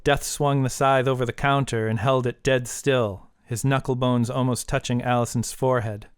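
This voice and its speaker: A man's voice: medium pitch, fairly even intonation, normal speed, smooth, dry recording, studio quality. A man reading a short story as scripted audiobook narration.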